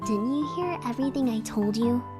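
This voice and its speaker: A woman's voice: a little high, expressive, normal speed, music in the background, natural voice. A woman playing a sardonic, levelheaded young woman in an anime visual novel. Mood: dry, guarded.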